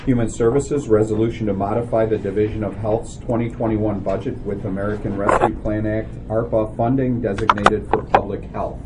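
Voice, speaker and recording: A man's voice: lowish voice, fairly even intonation, moderate pace, few pauses. A man chairing a county committee meeting, calling it to order and running through the agenda in a procedural, businesslike way. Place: county board meeting room. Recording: noisy meeting room recording.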